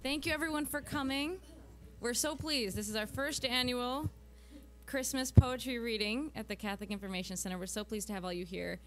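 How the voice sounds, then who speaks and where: A woman's voice: on the high side, steady tone, brisk. A woman hosting a live event, giving a welcoming address to an audience. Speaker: event host. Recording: clear background noise, hum.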